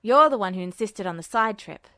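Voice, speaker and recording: A woman voicing a brash, irritable young male alchemist in a podfic reading, defensive and quick. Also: medium pitch, expressive, bright, forceful tone, dry recording, clean studio sound, pitched below the performer's own voice. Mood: huffy.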